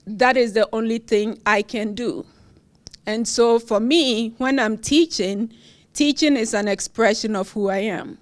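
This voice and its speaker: A middle-aged woman from Ghana with a Ghanaian accent, a professor giving an award acceptance speech at a university event, with frequent laughter and fillers. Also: a little high. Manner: warm, laughs often, with fillers. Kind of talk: speech at a podium.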